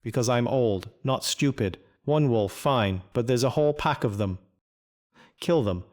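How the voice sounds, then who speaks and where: Game NPC: weary old male farmer, acted by a man, blunt and dry, mid-pitched, terse lines. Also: unhurried, a little mellow, natural voice. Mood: gruff, blunt. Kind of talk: video game quest dialogue.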